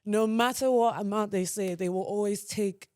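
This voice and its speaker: A woman's voice: mid-range voice, moderate pace, dry recording, clean studio sound. A young woman from Nigeria, a podcast guest telling stories in casual chat and laughing often. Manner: laughs often, relaxed storytelling.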